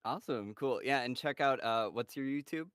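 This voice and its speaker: A man hosting a live-stream Q&A with a voice cast, reading out fan questions in a relaxed, upbeat way. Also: medium pitch, normal speed, clean tone, no room sound, clean studio sound. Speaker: moderator of a voice cast Q&A.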